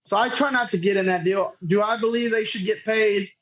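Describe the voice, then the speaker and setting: A man's voice: high voice, fairly even intonation, brisk, fairly bright tone. A man from chats casually as an interview guest, telling anecdotes in an informal, self-deprecating way. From Northeast Texas, United States. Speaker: podcast guest, tournament director. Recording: dull, lo-fi remote audio.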